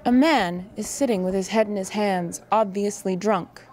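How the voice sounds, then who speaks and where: A woman's voice: mid-range voice, normal speed, a little mellow. A woman reading scripted narration and stage directions for an audio drama.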